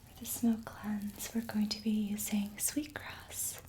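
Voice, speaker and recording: A woman whispering softly and slowly as an ASMR host, guiding a relaxing session. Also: medium pitch, level tone. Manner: soft whispering, slow and soothing.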